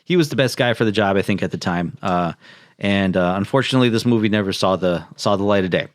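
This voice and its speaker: Low-pitched man, casual podcast host. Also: quick pace, no echo, clean studio sound. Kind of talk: casual chat mixed with reading notes.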